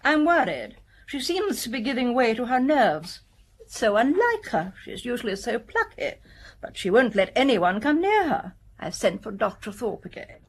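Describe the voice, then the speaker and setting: Reserved English duchess, slightly husky. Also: female voice, a little high, very animated, moderate pace, full character voice. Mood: worried. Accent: upper-class English. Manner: curt, formal. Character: cool, reserved English duchess, anxious and imperious. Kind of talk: British radio drama acting.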